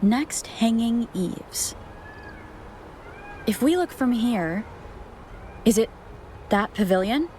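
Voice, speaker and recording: A woman playing a calm, reserved, direct young wanderer in a video game. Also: fairly high pitch, slow, pauses often, slight background noise. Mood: thoughtful.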